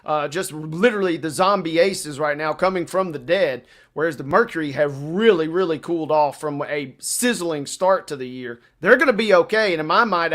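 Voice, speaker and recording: A man's voice: on the high side, fairly bright tone. A man co-hosting a sports radio show in casual, colloquial and playful commentary.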